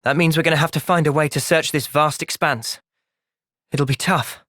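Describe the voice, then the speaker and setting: A man playing an earnest, thoughtful young soldier and party leader in a video game, sincere and resolute in a measured way. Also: fairly high pitch, steady tone, a bit bright, dry recording, studio quality, lightly acted. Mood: calm, thoughtful. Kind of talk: video game cutscene acting.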